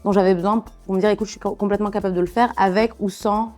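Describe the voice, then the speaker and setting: A woman from Niger speaking as a journalist in a conversational interview, telling personal stories with halting self-corrections. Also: mid-range voice, steady tone, mellow, soft background music.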